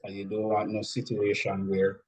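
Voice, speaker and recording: A man speaking as an interview guest, explaining things in a measured, instructive way. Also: on the low side, monotone, darkish tone, poor audio. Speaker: interview guest, music industry expert.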